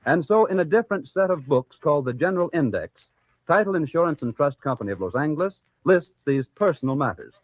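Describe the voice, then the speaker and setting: Formal American radio announcer, tinny vintage sound. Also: male voice, a little high, sing-song, a little fast, dry recording. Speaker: program announcer and sponsor spokesman. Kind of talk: 1940s radio scripted commercial narration.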